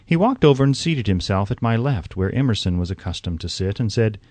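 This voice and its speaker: Guy reading an audiobook, deliberate storyteller delivery, pitch moves around a lot, really dry recording. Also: big pitch swings, darkish tone, no room sound. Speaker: audiobook narrator. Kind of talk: scripted audiobook narration.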